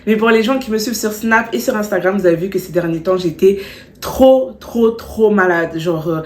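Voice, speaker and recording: A woman's voice: mid-range voice, normal speed, a little mellow, echoey room. A young woman vlogging in a casual, slangy way, a bit stuffy from a cold, repeating words for emphasis as she gives advice. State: stuffy, still has a cold. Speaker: beauty vlogger. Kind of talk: chatty storytime vlog with advice.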